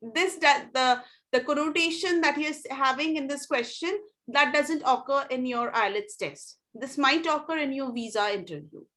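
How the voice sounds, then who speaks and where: A woman with an Indian English accent tutoring students in an online English class, giving gentle feedback with many fillers. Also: sits high, moderate pace.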